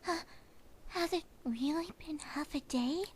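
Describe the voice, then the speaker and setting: A woman voicing a timid, worried young female cartoon pony who speaks gently and hesitantly in a fan fiction reading. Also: sits high, a little hiss or hum, voice pushed up. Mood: soft, worried. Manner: hesitant, halting, breathy.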